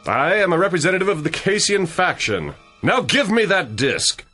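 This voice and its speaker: A man's voice: sits high, big pitch swings, moderate pace, sharp tone, faint music behind, heavily acted. An actor playing a hostile male foreign agent with a German accent, curt and commanding. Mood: demanding.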